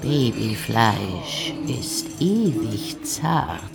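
Old witch: low, swooping voice, sinister crooning, menacing, fairy-tale radio drama. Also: very animated, very slow, creaky, over loud music, full character voice. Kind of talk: radio play acting with rhymed verse.

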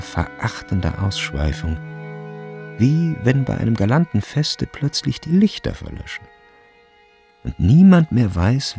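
A male narrator reads literary prose for a radio drama. His voice is fairly low with noticeable fry, and his delivery is measured and grave yet expressive, with faint music behind.

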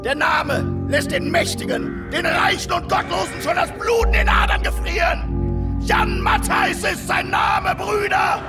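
An actor playing a fiery, fanatical street prophet in a radio drama, declaiming apocalyptic visions with exalted fervour and dramatic pauses. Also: male voice, very high voice, steady tone, gravelly, shouting, over loud music, heavily acted. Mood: ecstatic, rousing.

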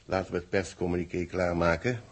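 An actor playing a deliberate space-base official in 1960s radio drama, with a husky voice and a Netherlands Dutch accent. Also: male voice, low voice, steady tone. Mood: measured, explanatory. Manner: deliberate, explanatory. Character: measured male space-base official briefing his secretary.

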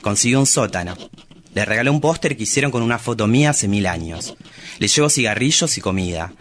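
A man's voice: fairly low pitch, lively intonation, brisk, fairly bright tone. A man with an Argentine accent playing a flamboyant, lovestruck, motherly cabaret performer, confessional and poetic, in a dramatized comic reading. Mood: tender, lovestruck. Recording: clearly noisy room recording.

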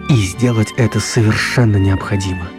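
A man narrating a scripted story for a radio play, with dramatic, deliberate phrasing. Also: lowish voice, steady tone, over music.

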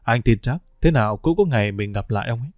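A man voicing a calm, careful middle-aged husband and father with a measured delivery in a storybook reading. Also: no echo, studio quality, lightly acted. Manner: low, measured, steadying.

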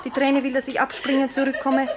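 An actress performs a dreamy, headstrong young woman in a 1950s radio play. Her high voice is lyrical and declamatory, held on a very flat line. The old recording is roomy, with clear background noise. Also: fairly quick, close to an everyday voice. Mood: mocking. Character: dreamy, wilful young woman yearning for the sea.

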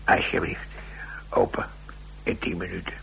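An actor playing a shrewd, folksy safecracker in a radio drama, with a gravelly voice and a halting, colloquial, deferential way of talking. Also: male voice, fairly bright tone, whispered, lightly acted. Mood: hushed, focused. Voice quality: gravelly, creaky voice. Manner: halting, colloquial, full of tag questions. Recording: noisy old radio drama recording.

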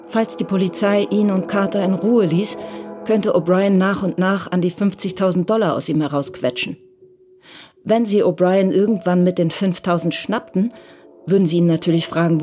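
A woman's voice: lowish voice, dark tone, lightly acted. A woman giving scripted literary narration in a radio crime drama. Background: music.

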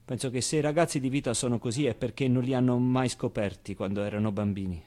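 An actor playing a naive, foul-mouthed, wistful orphan boy in an expressive theatrical monologue, with hesitant repetitions and pauses. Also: mid-range voice, natural voice. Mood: frank, bitter. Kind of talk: theatrical monologue, storytelling to an audience.

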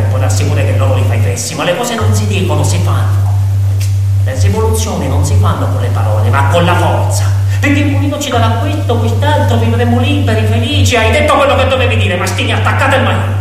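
A man's voice: sits high, slight background noise. Echoey, sneering tyrant pig, played by a man. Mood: vicious taunting. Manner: mocking, commanding, taunting. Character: brutal domineering male pig tyrant, sneering and menacing. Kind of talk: theatrical stage monologue. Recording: echoey reverberant hall, distant, music behind.

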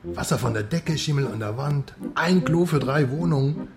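A man's voice: fairly high pitch, normal speed, crowd noise, lightly acted. A man playing a fat, asthmatic, mocking news correspondent with a wheezy voice in a radio drama. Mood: mocking disgust. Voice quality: wheezy, breathy. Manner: jovial, mocking, exclamatory. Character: fat, asthmatic, bald, teasing West German correspondent. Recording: music behind the voice.

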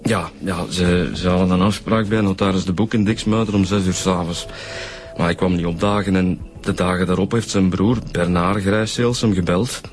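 An actor playing an easygoing, slightly hesitant police detective with a Flemish accent, talking colloquially in a radio drama. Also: male voice, deep voice, steady tone, moderate pace, soft background music, natural voice. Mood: matter-of-fact.

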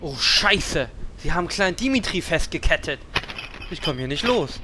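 An actor playing a lecherous, boastful little gnome escape artist in a comedic fantasy radio play, pompous and scheming. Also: male voice, fairly high pitch, sing-song, normal speed, very noisy. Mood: flustered.

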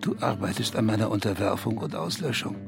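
Bitter self-absorbed writer guy, low creaky voice, brooding radio drama stuff. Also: steady tone, fairly quick, music in the background. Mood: bitter, accusing. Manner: brooding, declamatory, accusing. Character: bitter, self-absorbed East German male writer, needy lover.

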